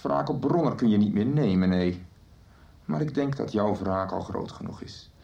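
An actor playing a cold, calculating schemer in a radio soap. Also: male voice, lowish voice, lively intonation, normal speed, creaky, mellow, highs cut off. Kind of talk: 1990s radio drama acting.

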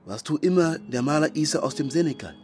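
A man's voice: on the high side, animated, fast, a little mellow, slight background noise, close to an everyday voice. An actor playing a hunted, agitated young man in a radio drama, speaking in short clipped phrases. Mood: wary, dry. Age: young adult. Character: hunted young Ghanaian man living illegally, wary and agitated. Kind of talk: naturalistic radio drama acting.

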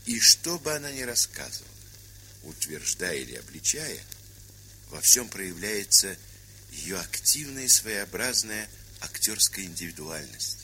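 A man's voice: low-pitched, very animated, measured pace, sharp tone. A man presenting in a formal, scripted and declamatory literary narration. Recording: hissy, crackly vintage 1970s record.

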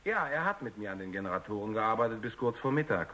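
Unsure young physicist, lowish voice. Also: male voice, animated, fast talker, fairly bright tone. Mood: guarded. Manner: hesitant, defensive. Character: young male physicist, unsure, defensive and irritable. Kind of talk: German radio drama acting.

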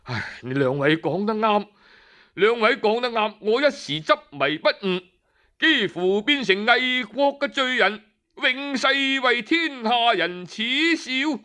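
A man's voice: extremely high pitch, lively intonation, takes it slowly, pushed and bright, clear, resonant tone, exaggerated voice. A male storyteller with a Cantonese accent voicing a proud, stubborn exiled nobleman who repeats himself emphatically. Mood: ashamed, contrite.

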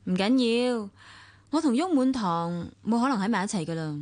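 A woman's voice: on the high side, animated, moderate pace, darkish tone, natural voice. An actress playing a reserved, wistful married woman in a radio drama, with a Hong Kong Cantonese accent. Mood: guarded. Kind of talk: radio drama acting with inner monologue.

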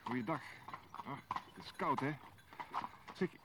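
A man's voice: mid-range voice, expressive, slow. Chummy broke man, eager colloquial hawker, swooping intonation, radio drama, noisy recording. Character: chummy, hard-up man hawking his own overcoat. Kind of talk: radio play dialogue.

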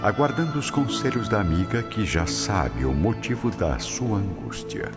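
A man's voice: on the low side, sing-song, normal speed. A man with a Brazilian accent narrating a scripted radio drama in a dramatic style. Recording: muffled, long reverb, music behind.

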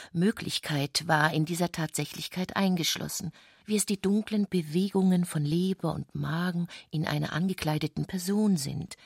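A woman's voice: on the low side, gravelly, husky, no room sound, clean studio sound. A woman reading literary prose as a scripted narrator, precise and composed.

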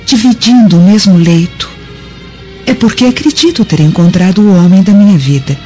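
An actress playing a weary, discontented young Roman wife in a radio drama, with formal, melodramatic delivery. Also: female voice, medium pitch, mellow, natural voice. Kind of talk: dramatic radio play acting. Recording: dull lo-fi audio with music behind.

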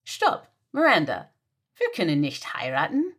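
A flustered young female assistant, read by a woman meets each demand with baffled, exasperated questions. She speaks slowly and deliberately, and her pitch swings widely up and down. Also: huge pitch swings, a bit bright, slightly rough, full character voice. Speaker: podfic reader. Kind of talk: German audio drama reading.

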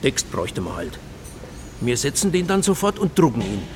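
An actor playing an eager, obliging German printer in a radio play, chattering and repeating himself in a servile manner. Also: male voice, medium pitch, big pitch swings, normal speed, darkish tone, slight background noise, natural voice. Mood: eager, delighted.

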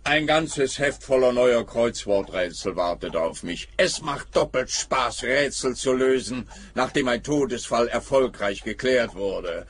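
Husky, smug police officer. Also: male voice, mid-range voice, expressive, unhurried, hardly any pauses. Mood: smug, dismissive. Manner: officious, condescending. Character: smug, dismissive male police officer, happy with easy answers. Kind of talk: radio play acting.

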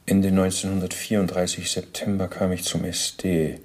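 Former military officer under interrogation, deep gravelly voice, bureaucratic, precise, self-justifying, radio drama. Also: male voice, level tone, moderate pace. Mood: matter-of-fact, self-justifying. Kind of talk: radio drama testimony, recounting at length.

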